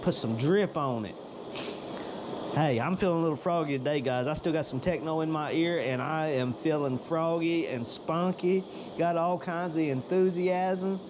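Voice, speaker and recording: A man's voice: fairly high pitch, moderate pace, noisy background. Folksy man in his workshop. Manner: folksy and chatty, talks to viewers as "y'all". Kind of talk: casual workshop narration.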